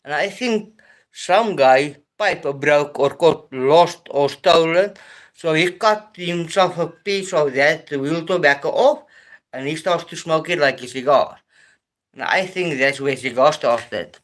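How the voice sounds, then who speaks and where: A South African man hosting a casual live stream, talking in a hesitant, halting way with many pauses and restarts. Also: on the high side, a bit bright.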